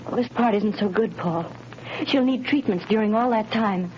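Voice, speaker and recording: Actress as a soft hesitant young wife, mid voice, dull hissy old radio. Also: female voice, mid-range voice, moderate pace, close to an everyday voice. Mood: worried. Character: gentle worried young wife. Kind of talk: 1930s radio acting.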